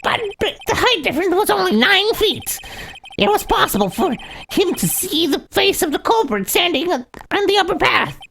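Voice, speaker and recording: A woman playing a forceful prosecutor in a courtroom game, halting and restarting phrases. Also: very high-pitched, very animated, creaky, a little hiss or hum, heavily acted. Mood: objecting. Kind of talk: amateur voiced playthrough of courtroom game script.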